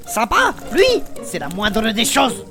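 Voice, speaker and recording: A performer gives a complaining adventurer a very high voice that swoops widely. He whines about his aching head. Music runs underneath. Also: huge pitch swings, slow, heavily acted. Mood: whiny. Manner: whiny, complaining. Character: whiny headachey male adventurer Marco. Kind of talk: audio fiction acting.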